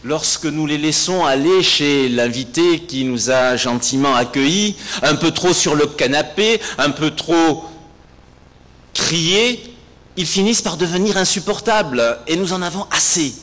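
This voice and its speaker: A man's voice: a little high, lively intonation, a bit bright. Echoey male preacher. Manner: rhetorical, emphatic, with deliberate repetition and pauses. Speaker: pastor. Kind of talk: sermon. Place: large reverberant hall, speaking to a congregation. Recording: echoey hall, long reverb, some background noise.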